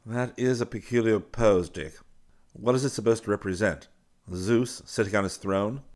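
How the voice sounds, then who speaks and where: A man voicing a formal, modest gentleman inventor with dry, understated humour in an audiobook. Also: lowish voice, normal speed, close to an everyday voice. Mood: mock grave. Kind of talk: audiobook character dialogue.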